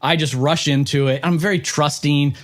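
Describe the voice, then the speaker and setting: A man's voice: a little high, steady tone, normal speed. A man hosting an interview podcast, presenting in an upbeat, emphatic and punchy way.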